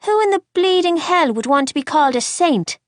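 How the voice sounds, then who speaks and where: A woman voicing a defensive young Irish boy in an audiobook, with a gruff, scornful manner. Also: a little high, big pitch swings, measured pace, no room sound, studio quality.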